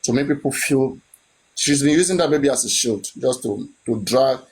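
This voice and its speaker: Male guest, mid-pitched, insistent and argumentative, rhetorical questions. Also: moderate pace, a little mellow, a little hiss or hum, rough recording. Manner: insistent, repeats points, asks rhetorical questions. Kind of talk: animated opinionated chat.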